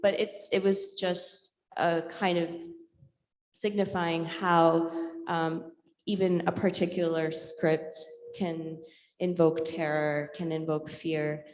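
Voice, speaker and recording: A woman from India whose native language is Urdu speaks in a long, reverberant hall. Her voice is on the low side and keeps to a flat, even line. She presents like an artist explaining her work informally, thoughtful and halting, with many filled pauses. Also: slight background noise. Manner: hesitant, reflective, many pauses with filled ums. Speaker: visual and performance artist giving a talk.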